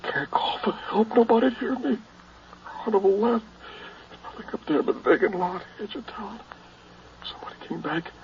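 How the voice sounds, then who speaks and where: An actor playing a frightened man trapped alive in a coffin, halting between muttering and desperate cries, in 1960s radio horror style. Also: high voice, lively intonation, darkish tone. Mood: despairing. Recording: dull, hissy vintage radio recording.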